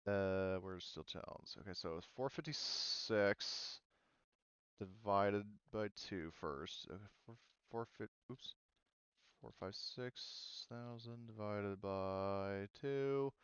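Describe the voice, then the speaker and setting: A man with a low, smooth voice runs a tabletop game as game master. He talks casually and rambles, often pausing and restarting as he thinks. The sound is close and dry, with a slightly dull voice-chat quality. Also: fairly even intonation, no echo. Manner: hesitant, rambling delivery full of trailing thoughts. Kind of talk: casual talk running a tabletop roleplaying game online.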